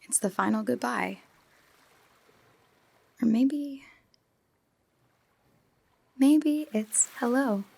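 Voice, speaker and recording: Woman acting a wistful young woman, creaky voice. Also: on the high side, drawn-out words, long pauses, a little mellow, no room sound, exaggerated voice. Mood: wistful, tender. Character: wistful, teasing teenage girl in love, remembering. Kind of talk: audio drama acting, intimate monologue and dialogue.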